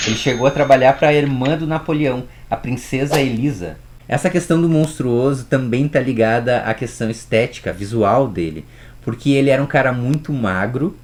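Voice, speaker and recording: Man, mid-pitched voice, chatty music history teacher who speaks straight to the viewer and throws in rhetorical questions. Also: darkish tone. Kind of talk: casual storytelling lesson.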